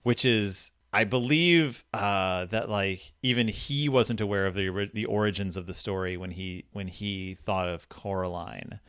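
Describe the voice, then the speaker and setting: A man co-hosting a podcast and casually discussing a story, with halting phrasing and repeated words. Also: on the low side, a bit bright, clear, resonant tone, dry recording, clean studio sound. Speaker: podcast host.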